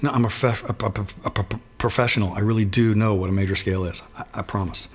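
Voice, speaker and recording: A middle-aged man with a raspy voice and sinus trouble, teaching a singing warm-up in a relaxed, self-deprecating way. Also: fairly low pitch, fast. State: sinus trouble, throat not feeling great. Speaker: guitarist giving a singing lesson. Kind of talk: casual instructional talk.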